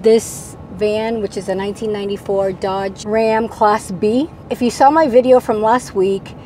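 Middle-aged female vlogger, Spanish as first language, soft-edged relaxed tone, explanatory to-camera talk, some noise inside a van. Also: mid-range voice, a little slow. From Venezuela, moved to the United States at ten. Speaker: vlogger, channel host. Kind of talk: casual vlog, answering viewer questions to camera. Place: parked camper van cab. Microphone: lapel mic. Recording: steady background noise inside a vehicle.

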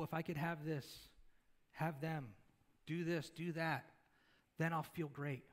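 A middle-aged pastor with a fairly high voice preaches on a church stage. He talks conversationally, tossing questions to the congregation and gesturing as he moves. His voice comes through a headset mic. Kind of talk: sermon.

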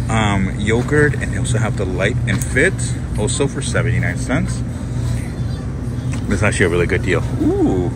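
Casual male shopping vlogger, low voice, upbeat and chatty, phone audio in a store with loud noise. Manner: chatty, reads prices aloud, upbeat about deals. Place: grocery store aisles, indoors. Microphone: phone camera held in hand.

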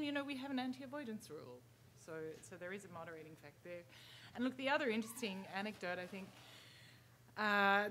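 A woman's voice: mid-range voice, a little hiss or hum. A middle-aged woman from Australia, speaking as a panelist at a conference podium in a large hall, chatty with many restarts. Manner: lively, chatty asides with restarts. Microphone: podium microphone.

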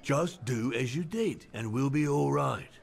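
An actor playing an older, fatherly innkeeper in a video game, speaking in warm, folksy praise. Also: mid-range voice, animated, a little slow, creaky. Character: kindly old male innkeeper, fatherly and shrewd.

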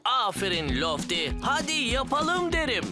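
Expressive, friendly builder foreman. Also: very high-pitched, measured pace, exaggerated voice. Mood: encouraging. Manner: encouraging, directing. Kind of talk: animated cartoon dub acting. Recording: loud music behind the voice.

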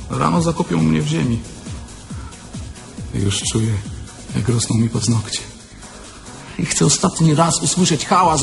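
A man's voice: fairly high pitch, creaky. An actor playing a crude, restless young thief lying dead in his coffin, in a declamatory radio-play monologue.

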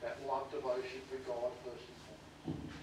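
A man's voice: medium pitch, monotone, a little fast, a little mellow. A male pastor preaching a sermon, asking the congregation earnest rhetorical questions. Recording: distant echoey sermon audio with faint noise.

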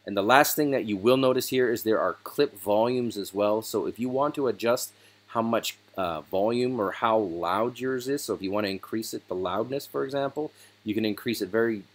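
A man hosting a software tutorial, explaining each step in a casual, relaxed way over a screen recording. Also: fairly low pitch.

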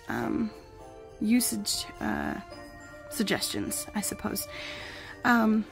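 A woman vlogger sick with the flu, her voice gravelly, chatting in a rambling, self-deprecating way while reviewing glasses at home. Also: on the high side. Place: home, indoors and outdoors in yard.

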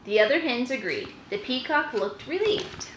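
A woman's voice: fairly high pitch, animated, moderate pace, a bit bright. A woman in her thirties reads a storybook aloud and gives instructions to children, recorded at home on a laptop. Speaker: host reading aloud to children. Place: small indoor room at home. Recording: roomy, faintly noisy webcam recording.

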